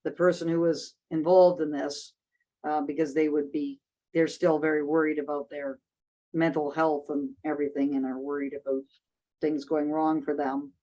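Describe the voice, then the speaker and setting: A woman's voice: sits low, flat delivery, normal speed, mellow, muffled recording. A woman hosting a casual discussion, speaking thoughtfully with frequent restarts and fillers. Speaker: host.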